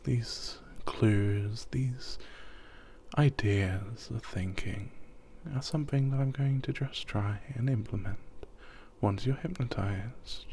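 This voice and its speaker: A man guiding a sleep hypnosis, talking softly and often half in a whisper, rambling and repeating himself. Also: fairly low pitch, a little hiss or hum.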